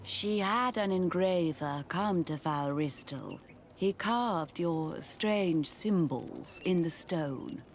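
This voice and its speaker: A disdainful church lady in a game, really slow talking, faint noise. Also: lowish voice. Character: disdainful female missionary. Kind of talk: video game cutscene acting.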